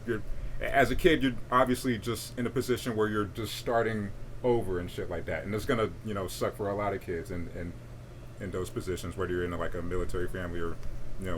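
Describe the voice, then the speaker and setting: A man's voice: slight background noise, rough recording. A young man, chatting casually on a podcast, laid-back and rambling with many fillers. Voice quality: deep voice. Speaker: podcast co-host. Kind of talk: casual chat.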